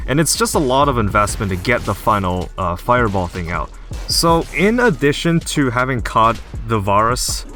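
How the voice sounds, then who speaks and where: A young man casually commenting on his gameplay as a YouTuber, his voice a little hoarse after being ill. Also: mid-range voice, bright, forceful tone. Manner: casual, chatty, explanatory. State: slightly hoarse from recent illness. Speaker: gaming YouTuber.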